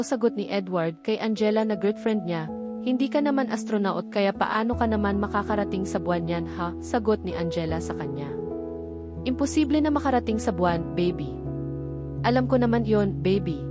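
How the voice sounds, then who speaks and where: Filipino woman narrating, music behind. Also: mid-range voice. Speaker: audiobook narrator. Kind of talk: scripted story narration of a romance novel, with dialogue read out.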